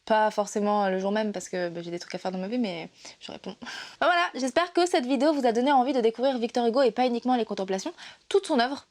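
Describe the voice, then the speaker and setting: A woman's voice: on the high side, quick pace, darkish tone. Woman vlogger, casual lit explainer, hesitant with many fillers, playful. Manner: playful and emotional, laughs often. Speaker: literature video presenter.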